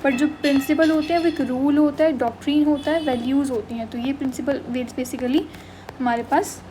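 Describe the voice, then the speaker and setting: A woman's voice: high voice, fairly even intonation, normal speed, mellow, a little hiss or hum. A young woman teaching English vocabulary in an exam-prep class, mixing Hindi and English, often checking in with "okay" and "done". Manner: mixes Hindi and English, frequent "thik hai" and "done" check-ins. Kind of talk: online class explaining vocabulary.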